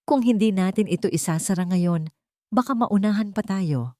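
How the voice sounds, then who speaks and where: A woman's voice: mid-range voice, normal speed, dark tone, dry recording, clean studio sound, voice pushed up, lightly acted. A woman with a Filipino accent voicing a polite, persuasive, scheming male antique seller in an audio story. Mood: pressuring.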